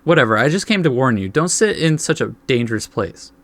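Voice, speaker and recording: A man's voice: medium pitch, very animated, brisk, a bit bright, no room sound. A man reading game text aloud as a let's play host, adding laid-back asides.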